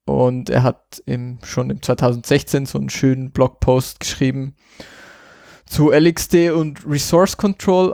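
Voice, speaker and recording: A man co-hosting a podcast, chatting casually about software and thinking aloud with lots of filler sounds. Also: mid-range voice, gravelly, no echo, studio quality. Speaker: podcast co-host. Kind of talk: casual tech chat.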